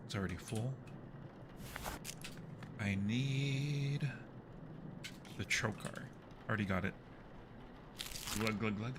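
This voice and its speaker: A man's voice: fairly low pitch, takes it slowly, long pauses, background noise. A man hosting a casual live game stream, chatting playfully with viewers and muttering to himself in a jumpy way as he plays.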